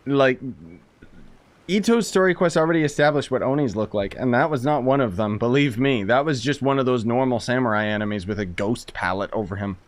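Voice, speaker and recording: A man's voice: medium pitch, a little fast. A young man giving casual, slangy live commentary as he streams a game. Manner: slangy, reactive, chatty.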